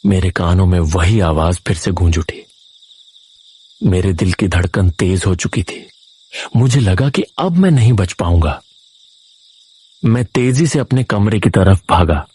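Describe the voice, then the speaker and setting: A man with a low, husky voice tells a first-person horror story. He reads slowly and with suspense, as a dramatic scripted narrator. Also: expressive, darkish tone.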